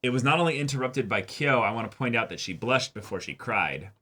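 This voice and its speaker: A man's voice: mid-range voice, animated, a little fast, pushed and bright. A man co-hosting an anime reaction show, talking casually through an episode. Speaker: co-host of an anime reaction channel.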